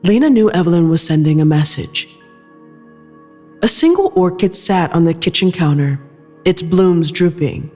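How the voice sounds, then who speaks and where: A narrator reading scripted audio fiction in a measured storytelling style. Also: mid-range voice, animated, a little mellow, long reverb tail, soft background music.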